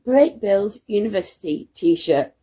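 A young woman, a student, chatting casually to her phone camera at home, her voice croaky from hay fever and her talk rambling and excitable. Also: medium pitch, unhurried. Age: young adult. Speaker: student vlogger. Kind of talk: casual vlog chat. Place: home, indoors. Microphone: phone camera at arm's length.

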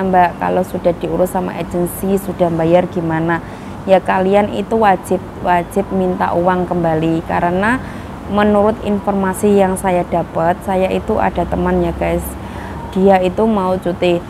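A woman from Indonesia, hoarse from a cough, chatting casually to her viewers in a vlog, full of fillers. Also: medium pitch, background noise. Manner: chatty, many fillers, addresses viewers as guys. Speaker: vlogger giving news to migrant workers. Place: outdoors in Taiwan.

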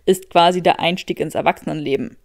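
A woman's voice: fairly low pitch, fairly even intonation, quick pace, vocal fry, no room sound, studio quality, voice pushed down. A woman voicing a smug, sarcastic young man with a teasing drawl in an audiobook story.

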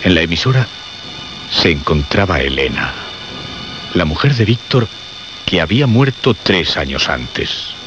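An actor playing an ominous narrator of radio suspense, with portentous, rhetorical delivery. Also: male voice, low voice, big pitch swings, measured pace, pauses often. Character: ominous male narrator of a horror tale. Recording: dull radio recording under loud music.

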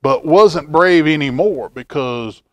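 A middle-aged man reading a picture book aloud to children in a folksy, expressive way, with chatty asides. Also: on the high side, takes it slowly, dull audio. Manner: folksy, expressive, asking the listener questions. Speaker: library storytime reader. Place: indoor library children's room.

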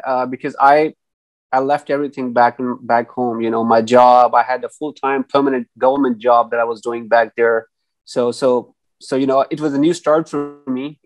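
A man's voice: mid-range voice, dry recording, poor audio. A man from Pakistan in his thirties answers interview questions over a video call. He talks hesitantly, restarting often and leaning on "you know." He speaks through an earphone mic in a small room, and the sound is dry and close. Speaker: guest engineer. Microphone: earphone headset mic on video call.

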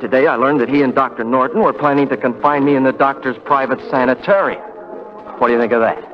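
A man's voice: medium pitch, steady tone, fast, over music, lightly acted. An actor playing a bitter, self-pitying, paranoid young man in a 1940s radio melodrama, sarcastic and repeating himself. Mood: paranoid, agitated. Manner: sarcastic, muttering repeats, dramatic. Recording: dull vintage 1940s radio recording.

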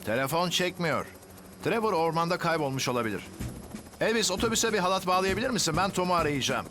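A man voicing a calm, brave firefighter who leads rescues with reassuring, clear orders in a children's cartoon dub. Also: high voice, fast talker, slight background noise. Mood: focused. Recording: loud music bed under the voice.

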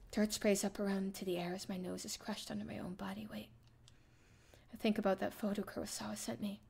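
A young woman streamer reading a visual novel aloud as expressive, dramatic first-person narration. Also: medium pitch, gravelly, a little hiss or hum. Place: indoor room, livestream setup.

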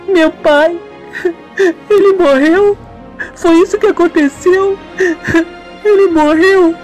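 A man's voice: very high voice, slow pace, darkish tone, soft background music, pitched above the performer's own voice, low-quality recording. A man voicing a frightened, plaintive little boy in an amateur radio soap opera. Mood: distraught. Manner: plaintive, tearful. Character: frightened, tearful little boy, pleading and shrill.

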